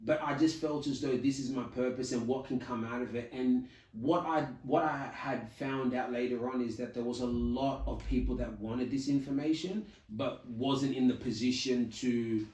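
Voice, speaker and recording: An animated man talks through how he got started, quoting his own thoughts along the way. He sits a bit back from the mic in a room that echoes. His pitch sits in the middle range. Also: normal speed, hardly any pauses, far from the mic. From Australia. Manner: animated storyteller, quotes his own thoughts, frequent "you know". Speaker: podcast guest, community founder. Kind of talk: casual interview chat.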